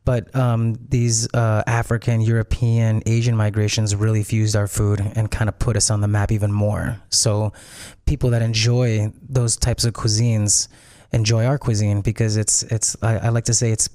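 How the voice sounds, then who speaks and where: A man's voice: on the low side, level tone, moderate pace, dark tone, no room sound, clean studio sound. A man from Peru, a restaurant founder and interview guest, explaining at length in a thoughtful, easy way. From Peru and Miami. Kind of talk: casual interview.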